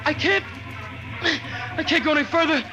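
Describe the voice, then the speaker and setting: A man's voice: very high voice, a little slow, over music, low-quality recording. An actor playing a terrified, exhausted man on the run in an old radio drama, out of breath. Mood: panicked. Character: terrified hunted man running for his life. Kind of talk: 1940s radio acting.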